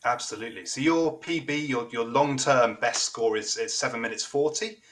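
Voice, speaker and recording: A man's voice: medium pitch, moderate pace, fairly bright tone. A man interviewing an athlete after a race, upbeat and encouraging.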